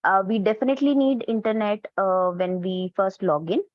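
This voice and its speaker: A woman's voice: mid-range voice, normal speed, dry recording. A woman with an Indian accent answering as a webinar panelist, hesitant and often repeating words. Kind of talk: answering an audience question. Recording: dull, dry online call.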